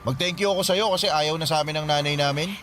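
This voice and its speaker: An actor with a Filipino accent playing a hurt, stubborn, resentful teenage son in radio drama style. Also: male voice, a little high, fast, fairly bright tone, slight background noise, lightly acted. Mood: angry, bitter.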